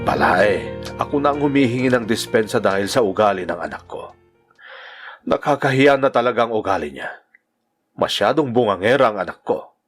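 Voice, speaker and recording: Man acting a stern father, swinging pitch. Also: lively intonation, moderate pace, soft background music, close to an everyday voice. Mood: ashamed, exasperated. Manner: reproving, lecturing. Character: stern, exasperated older father of a married daughter. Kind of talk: Tagalog radio-style drama acting.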